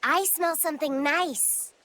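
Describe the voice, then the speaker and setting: A woman voicing a gentle, curious young boy in a game, earnest and a little hesitant. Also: high-pitched, measured pace, no room sound.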